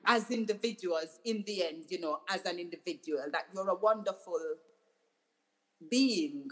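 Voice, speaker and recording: A woman's voice: on the low side, moderate pace, a bit bright, low-quality recording. Earnest woman doctor, reflective tone. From Jamaica. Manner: earnest, reflective, restarts phrases often. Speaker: doctor and author, guest. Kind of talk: interview conversation.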